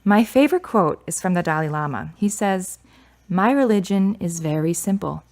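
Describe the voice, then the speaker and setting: An actress playing a kind, reserved grieving daughter in a radio drama, speaking in a measured, earnest way. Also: female voice, fairly low pitch, expressive, a little mellow. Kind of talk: serial radio drama acting.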